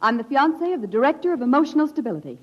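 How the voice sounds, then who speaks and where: An actress playing a strong-willed female scientist of a dystopian future in a 1950s radio drama, crisp, formal and intense. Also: high voice, big pitch swings, racing, no echo. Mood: determined. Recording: dull 1950s radio broadcast recording.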